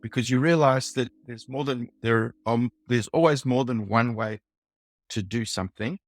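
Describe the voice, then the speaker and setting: South African-accented man, measured guest. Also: medium pitch, dry recording. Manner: thoughtful, measured, some ums and uhs. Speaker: guest, design leader. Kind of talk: podcast interview.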